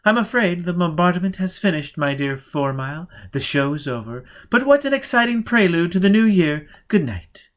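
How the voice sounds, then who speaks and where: A woman's voice: lowish voice, big pitch swings, normal speed, few pauses, voice pushed up. A man voicing an aloof, fierce aristocratic lady. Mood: gracious, dismissive. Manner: swings from hushed intensity to airy, gracious dismissal. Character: aloof aristocratic young woman, fierce under a gracious surface. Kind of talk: audiobook character voice.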